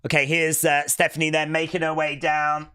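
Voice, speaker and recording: A man's voice: on the high side, monotone, moderate pace, pushed and bright, smooth, no room sound, studio quality. A man casually commenting on a wrestling show while streaming live and reading out chat messages. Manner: casual, chatty, many "uh" fillers. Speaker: wrestling watch-along streamer.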